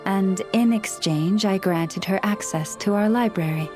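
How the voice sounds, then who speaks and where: A woman plays a serene young priestess from a video game. Her voice sits at a middle pitch, and she speaks with formal, measured courtesy. Soft music plays behind her. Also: lightly acted. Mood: warm, courteous. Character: gentle, gracious young female moon priestess, serenely courteous. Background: background music.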